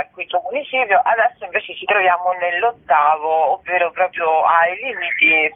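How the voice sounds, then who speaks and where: A woman giving an interview as an organiser, speaking spontaneously and often searching for words. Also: medium pitch. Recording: muffled lo-fi radio or phone line.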